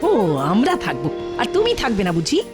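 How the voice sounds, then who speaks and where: A woman's voice: a little high, wildly swooping pitch, brisk, music in the background. A woman voicing a suspicious, nagging village housewife in an exclamatory cartoon dub. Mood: scolding. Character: blacksmith's wife, suspicious scolding village housewife.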